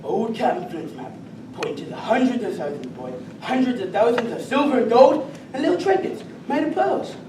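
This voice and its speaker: An actor playing an old, drunken, brawling who talks in a gruff, threatening burr. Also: male voice, extremely high pitch, big pitch swings, a little fast. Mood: weak, dying. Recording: echoey room, far mic, noisy.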